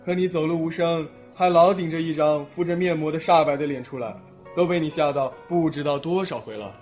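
A man's voice: a little high, a little fast, darkish tone, natural voice. A man acting a caring but exasperated teenage schoolboy and older brother in an audio drama. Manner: dry, long-suffering. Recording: music playing behind.

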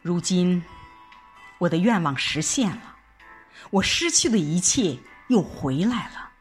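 A woman performing dramatic first-person narration in a reflective, expressive recitation with deliberate pauses. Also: on the low side. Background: background music.

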